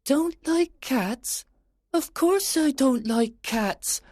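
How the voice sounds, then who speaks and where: An indignant storybook mouse with a high voice. The words are very drawn out and emphatic, close and dry. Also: female voice, expressive, drawn-out words, a little mellow, no echo, exaggerated voice. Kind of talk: storybook character acting.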